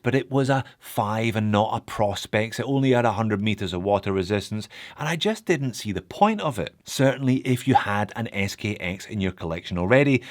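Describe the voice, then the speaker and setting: A middle-aged man hosting a watch review channel, speaking a little nasally from a cold, with dry humour and asides to the viewer. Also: medium pitch. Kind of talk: scripted video review.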